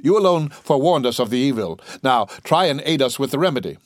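A man's voice: medium pitch, expressive, fast talker, no echo, clean studio sound, close to an everyday voice. A man voicing an indignant, imperious aging king in a storybook reading. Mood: commanding. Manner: commanding, sharp, sarcastic.

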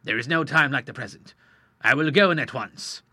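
Man reading a steady, kindly doctor. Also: medium pitch, a little fast, slightly rough, no echo. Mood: calm. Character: kindly, steady middle-aged male doctor.

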